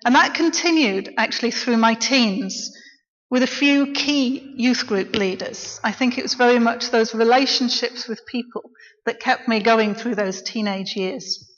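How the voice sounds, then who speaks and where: A middle-aged woman, a local preacher, giving a quiet, reflective personal testimony in church. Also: on the high side, a little slow. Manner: soft-spoken, reflective. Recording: dull, echoey church recording.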